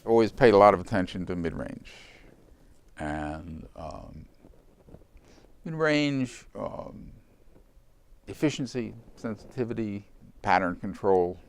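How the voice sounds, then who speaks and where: An older man, a company founder, speaking reflectively in an interview in a halting, unhurried way with pauses. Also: fairly low pitch, animated, creaky, soft background music.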